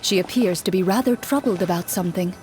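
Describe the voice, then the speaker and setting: A woman giving calm, measured second-person narration of a game story. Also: fairly low pitch, noisy background.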